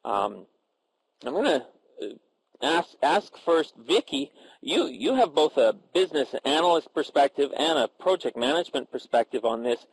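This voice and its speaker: Halting man moderating a panel. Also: on the high side, sing-song, breathy edge, rough recording. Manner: halting, many filler sounds and restarts. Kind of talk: moderating a professional panel discussion.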